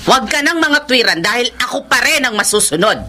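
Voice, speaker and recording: A domineering mother in Filipino radio melodrama. Her commanding voice is high and forceful, swinging animatedly as she insists, with faint noise behind. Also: expressive, fast. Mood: bossy, stern. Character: domineering, bossy mother demanding obedience.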